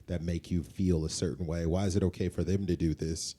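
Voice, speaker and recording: A man's voice: low voice, fairly even intonation, brisk, dark tone. A man co-hosting a podcast, speaking in an informal, agreeable conversational way. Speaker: podcast co-host. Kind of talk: casual conversational podcast chat. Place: indoors, relaxed seated setup.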